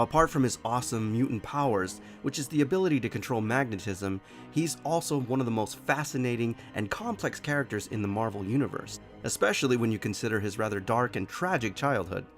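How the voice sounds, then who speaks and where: A man narrates a collectible statue review while sick, his voice sitting deeper than usual. He talks at a middling pitch, piling on eager praise. Music plays clearly beneath him. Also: a bit bright. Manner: effusive, enthusiastic praise. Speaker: collectible toy reviewer, show host. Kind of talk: product review voice-over. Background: background music.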